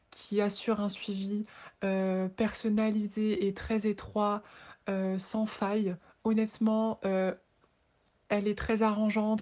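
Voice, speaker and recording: A woman's voice: mid-range voice, darkish tone. A woman in her twenties giving an informal spoken testimonial in an earnest, somewhat hesitant way. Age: 20s. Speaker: voice therapy client giving a testimonial.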